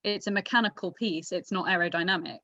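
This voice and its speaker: A woman's voice: on the low side, fast, sharp tone, no room sound. A woman on a podcast panel discussing sport with opinions, readily correcting and rephrasing points in an argumentative way. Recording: slightly muffled lo-fi, dry.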